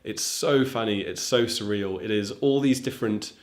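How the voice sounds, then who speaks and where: A man's voice: lowish voice, normal speed. A young man, a native English speaker, casually reviewing a film for German learners, thoughtful and chatty with frequent ums. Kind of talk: casual talking-to-camera review.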